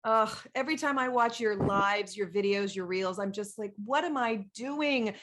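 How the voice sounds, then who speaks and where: A woman's voice: medium pitch, normal speed, fairly bright tone. A middle-aged woman hosting an interview podcast, speaking in an effusive, emphatic and enthusiastic way. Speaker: podcast host.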